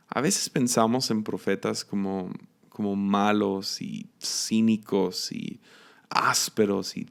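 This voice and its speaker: A man with a Mexican accent preaching in a gravelly, low voice. He takes his time, musing aloud, restarting his phrases and letting out soft laughs. The tone is that of a reflective devotional teaching.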